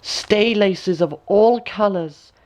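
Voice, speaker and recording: A man voicing a sly old peddler woman in a storybook, with drawn-out, wheedling patter. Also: high-pitched, darkish tone, voice pushed up. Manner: drawn-out, coaxing hawker's patter.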